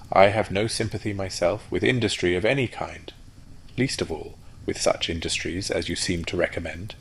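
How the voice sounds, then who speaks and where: A man's voice: sits low, a little hiss or hum, rough recording. A man voicing a conceited male firework rocket who talks in a haughty, lecturing way. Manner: haughty, self-important, lecturing.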